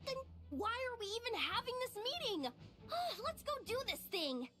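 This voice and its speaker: A woman playing an impatient, eager young fighter in a video game, blunt and excitable. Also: extremely high pitch, measured pace, heavily acted. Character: impatient, eager, practical young female comrade.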